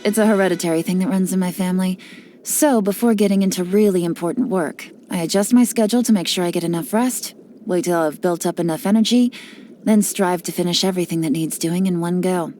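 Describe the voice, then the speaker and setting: An actress playing a cool, cunning female spy and information broker, speaking with confident, sly, measured delivery in game voice lines. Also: on the low side, warm tone, faint music behind, lightly acted. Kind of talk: scripted character voice lines.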